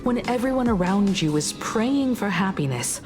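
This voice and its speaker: An actress voicing a calm, wise woman in a video game, speaking slowly and reflectively. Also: medium pitch, lightly acted. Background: game music.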